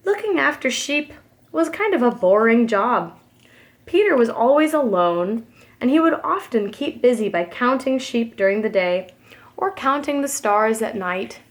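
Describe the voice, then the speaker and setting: A woman's voice: fairly high pitch, measured pace. A woman preschool teacher reading a storybook aloud expressively for young children. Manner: expressive, addresses young listeners.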